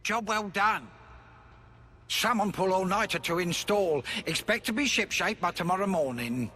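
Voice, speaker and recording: Eager, high-pitched little creature engineer. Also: male voice, moderate pace, sharp tone, husky, exaggerated voice. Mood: proud, eager. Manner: broken third-person speech, boastful. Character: small eager Nopon creature engineer, proud and cheerful.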